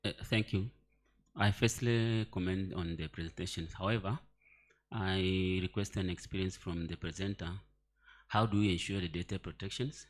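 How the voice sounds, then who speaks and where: A man with a lowish voice addresses a conference as an audience member. His phrasing is formal and a little halting as he sets out questions and comments. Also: steady tone. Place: conference hall.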